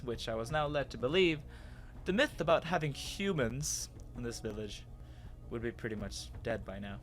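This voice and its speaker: A man giving an expressive, dramatic first-person reading of a story as its narrator. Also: mid-range voice. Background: music.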